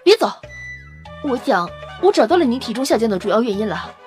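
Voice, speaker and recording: A woman's voice: on the high side, very animated, a little fast, darkish tone, soft background music. A woman playing a brash, fiery, outspoken female vampire scientist in an audio drama, with an emphatic, informal manner. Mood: eager, explaining. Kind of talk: Chinese audio drama acting.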